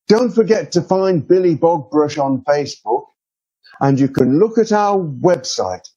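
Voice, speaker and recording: A man with a high, slightly husky voice reads a children's storybook. His delivery is slow and very lively, stretching words out for effect. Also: muffled recording. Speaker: author reading a children's story. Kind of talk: scripted children's storybook narration.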